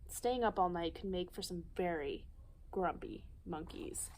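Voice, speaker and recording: A woman voicing an affectionate, gently teasing mother chimpanzee from a picture book. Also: lowish voice, measured pace, a little hiss or hum, natural voice. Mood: doubtful.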